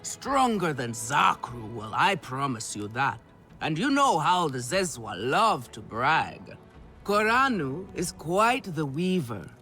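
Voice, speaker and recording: A man's voice: fairly high pitch, sing-song, slow, pushed and bright, exaggerated voice. A man playing a flamboyant, doting alien clan elder who loves silk, in theatrical game cutscene style with music behind him. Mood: proud, effusive. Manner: theatrical, effusive. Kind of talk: video game cutscene acting.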